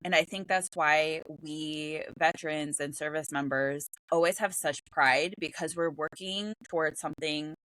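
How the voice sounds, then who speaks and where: Woman host, really low voice, reflective and affirming, dry close sound. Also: fairly even intonation, a little slow, hardly any pauses, fairly bright tone, no echo, poor audio. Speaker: podcast host. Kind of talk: casual interview talk.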